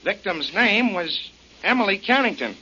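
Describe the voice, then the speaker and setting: An actor playing a mild, hesitant, deferential police subordinate in a 1940s radio drama. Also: male voice, high-pitched, lively intonation, moderate pace. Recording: dull, hissy 1940s radio recording.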